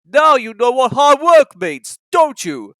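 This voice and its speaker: A storybook narrator voicing a proud, big male express engine with booming, emphatic tooting commands. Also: big pitch swings, slow pace, fairly bright tone, no echo, full character voice. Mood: gloating.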